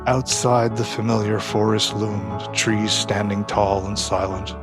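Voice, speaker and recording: A man tells a dramatic story as its narrator. His voice sits fairly low, with a solemn, deliberate delivery. Music plays clearly behind him. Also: little pitch movement. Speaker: narrator and writer of audio drama.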